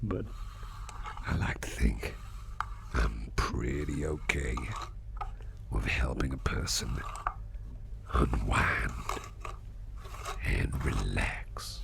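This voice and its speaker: A man playing a kindly, attentive businessman boss in a gentle, whispered, gravelly ASMR roleplay that soothes an employee. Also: extremely low pitch, lively intonation, full character voice. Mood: modest, chatty. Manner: gentle, slow, reassuring. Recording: clearly audible background noise.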